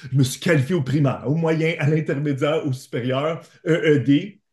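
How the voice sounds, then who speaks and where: Un homme dans la quarantaine, originaire de l'Est ontarien, à l'ontarien, invité qui raconte son parcours avec sincérité et enthousiasme, par appel vidéo. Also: male voice, a little high, steady tone, moderate pace, darkish tone, echoey room. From Eastern Ontario, Canada. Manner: earnest, enthusiastic storytelling. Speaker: teacher, guest. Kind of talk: interview answers. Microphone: video call.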